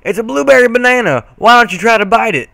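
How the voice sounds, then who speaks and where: A man voicing a mischievous, excitable, teasing male pony cousin in a cartoon story. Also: very high voice, fast talker, gravelly, voice pushed up, exaggerated voice. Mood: gleeful.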